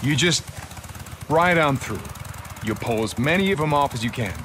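An American actor playing a gritty, restless biker in a zombie apocalypse video game. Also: male voice, medium pitch, lively intonation, normal speed, a bit bright, a little hiss or hum, close to an everyday voice. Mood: determined, reckless. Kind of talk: video game cutscene acting.

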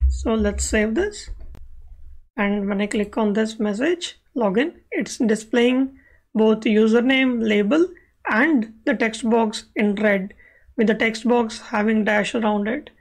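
A woman's voice: fairly high pitch, slow. A woman explaining code step by step as the instructor in a screencast tutorial. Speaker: web accessibility instructor.

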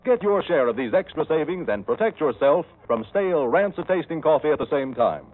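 A man's voice: fairly high pitch, lively intonation, fairly quick. A man with an American accent reading an advertisement as a radio announcer in an emphatic, salesmanlike 1930s style. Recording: tinny old 1930s radio broadcast.

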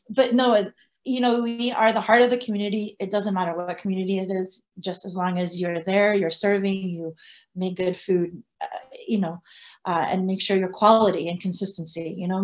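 Casting: woman in her forties, non-native English speaker, lively restaurateur, rambling, slightly dull call audio. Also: mid-range voice. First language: French. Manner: animated, gestures, rambling asides. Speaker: bakery and restaurant owner. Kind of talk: casual panel talk. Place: home room, indoors. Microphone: video call.

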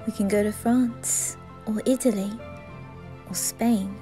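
A woman voicing a wounded, lovestruck teenage boy in an ASMR roleplay, soft and breathy with a hesitant, tender manner. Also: mid-range voice, steady tone, takes it slowly, soft background music, close to an everyday voice. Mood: loving. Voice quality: soft, breathy, often whispering.

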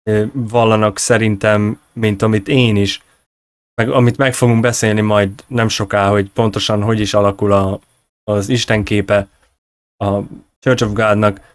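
A man in his thirties hosting a conversational discussion, halting as he searches for words and corrects himself. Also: on the low side, no echo. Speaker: host, interviewer. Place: small indoor room at home. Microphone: close desk microphone on a video call.

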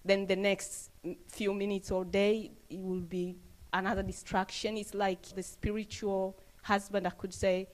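A woman, giving an earnest, halting testimony into a handheld mic indoors. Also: mid-range voice, rough recording. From Tanzania. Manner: earnest, halting with fillers and restarts. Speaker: church member giving testimony. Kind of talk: on-camera testimony interview. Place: indoors, plain room. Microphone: handheld interview mic held up to her.

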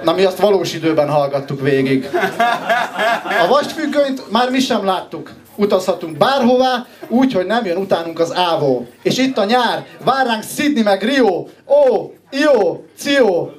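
A man in his twenties from Hungary performing rhythmic, rhyming slam poetry with a wry, ironic delivery and pauses before his punchlines. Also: high voice, big pitch swings. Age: 20s. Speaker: slam poet, contestant.

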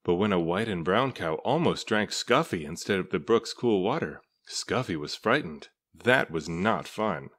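Low-voiced man reading a storybook. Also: animated, moderate pace, hardly any pauses, fairly bright tone. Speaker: storybook reader. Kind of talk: read-aloud children's story narration.

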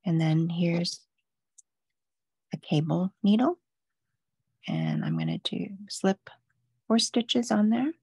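Woman, knitting instructor, patient step-by-step, low gravelly voice, unhurried, dry close sound. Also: huge pitch swings, warm tone, no echo. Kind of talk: live online craft demo.

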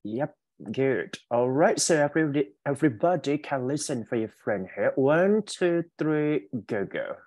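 A man's voice: medium pitch, animated, a little slow, a little mellow. A man teaching an online class casually, chatty and prompting, often checking with his listeners.

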